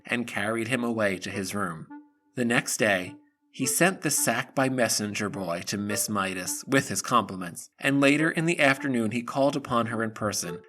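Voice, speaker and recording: Bright-voiced man narrating a storybook. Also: mid-range voice.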